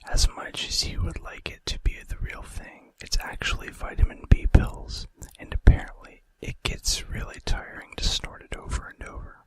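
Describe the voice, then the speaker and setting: A man's voice: normal speed, hardly any pauses, poor audio. Male ASMR narrator, whispered throughout, chatty and informal, reading out trivia. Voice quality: breathy unvoiced whisper. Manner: casual, conversational asides. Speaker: ASMR video host.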